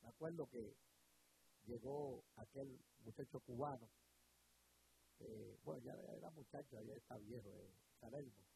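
A man's voice: mid-range voice, gravelly. A middle-aged man from Rico, a radio pastor preaching fervently, repeating words and drawing out key phrases. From Puerto Rico. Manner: fervent preaching with repetitions and drawn-out emphasis. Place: radio studio. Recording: hissy broadcast recording.

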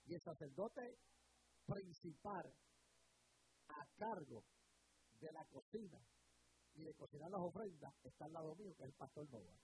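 Fervent radio preacher. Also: male voice, a little high. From Puerto Rico. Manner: fervent preaching with repetitions and drawn-out emphasis. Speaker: radio pastor. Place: radio studio. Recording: hissy broadcast recording.

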